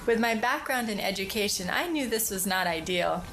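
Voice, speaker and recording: A woman raised in Thailand reads a first-person account in a mid-pitched, earnest voice. Her delivery is heartfelt and steady. Music plays clearly underneath. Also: normal speed, low-quality recording. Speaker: visiting educator. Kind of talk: scripted first-person narration. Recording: music bed under voice-over.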